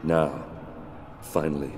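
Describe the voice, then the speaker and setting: A man playing a grim Roman soldier who solemnly narrates his war campaign in a video game cutscene. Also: very deep voice. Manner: solemn, even, measured. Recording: long hall reverb, faint background noise.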